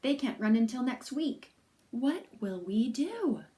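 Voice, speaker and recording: A woman's voice: on the high side, sing-song, takes it slowly, dark tone, roomy sound, slight background noise. A woman voicing a worried mother rabbit in a storybook, speaking anxiously. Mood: fretting. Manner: anxious, fretting.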